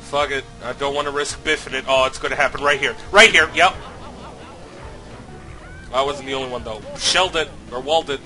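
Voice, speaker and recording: A man commentating his own racing game playthrough, slightly sick and nasal, swearing often with sudden loud outbursts. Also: medium pitch, fairly even intonation, a little fast, pauses often, faint music behind. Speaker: let's play host. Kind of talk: casual gameplay commentary.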